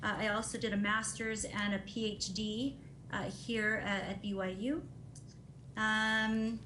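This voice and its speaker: A woman's voice: mid-range voice, fairly bright tone. A woman in her forties from Honolulu giving formal testimony as a neuropsychologist, speaking hesitantly and matter-of-factly. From Honolulu, Hawaii. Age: 40s. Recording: echoey room, faint noise, video call.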